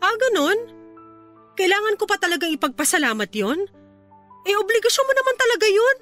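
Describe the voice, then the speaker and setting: Distressed young mother, very high bright voice, confrontational, emotional radio drama, music underneath. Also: sing-song, a little fast, heavily acted. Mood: hurt, reproachful. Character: distressed young mother standing up to abusive partner. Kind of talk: Tagalog radio drama acting.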